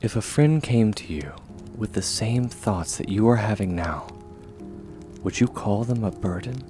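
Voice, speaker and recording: A man acting as a steadfast young warrior mentor offers comfort in a low voice. He speaks slowly and softly, often sinking toward a whisper, in an intimate ASMR roleplay over faint music. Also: animated. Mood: gentle, encouraging. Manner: soft, reassuring, measured, often near whisper.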